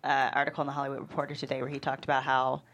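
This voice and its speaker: A woman's voice: a bass voice, monotone, racing, a bit bright. A woman on a panel chatting casually and colloquially, peppering her talk with "like". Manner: colloquial, heavy use of "like". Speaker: panelist and table-read performer.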